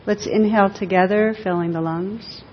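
A woman leading a guided meditation for a group, speaking gently in short, unhurried phrases with long pauses. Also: steady tone. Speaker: meditation teacher. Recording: dull echoing hall recording, faint rumbling noise.

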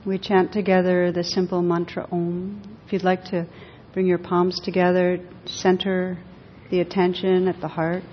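A woman leading a guided meditation for a group, speaking gently in short, unhurried phrases with long pauses. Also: level tone. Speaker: meditation teacher. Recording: dull echoing hall recording, faint rumbling noise.

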